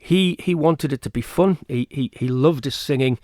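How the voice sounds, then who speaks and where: A man's voice: fairly high pitch, normal speed, a little mellow, no room sound. Hesitant man interviewed in a dry studio. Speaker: choir festival organiser, interview guest. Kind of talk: informal radio interview.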